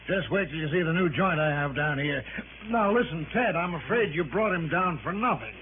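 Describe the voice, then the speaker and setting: A brash, chummy male business promoter as a man acts him out in a 1960s radio drama, with a high-pitched voice. He talks in slangy, boastful sales patter. The sound is narrow and noisy, like an old radio broadcast. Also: animated, a little fast, close to an everyday voice. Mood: hearty. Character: brash, chummy male businessman hawking his soft drink.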